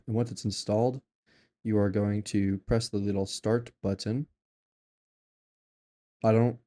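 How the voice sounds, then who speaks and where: A young man walking through a software install in a casual tutorial, rambling with lots of fillers and restarts. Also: lowish voice, gravelly. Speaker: tutorial maker.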